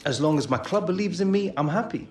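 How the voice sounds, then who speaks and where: An actor playing an earnest, determined young footballer in a video game, speaking sincerely and informally. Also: male voice, fairly high pitch, expressive, fast, lightly acted. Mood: earnest, confident. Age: young adult. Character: earnest eighteen-year-old male footballer, polite and determined. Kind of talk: video game cutscene acting. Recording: roomy echo, music behind.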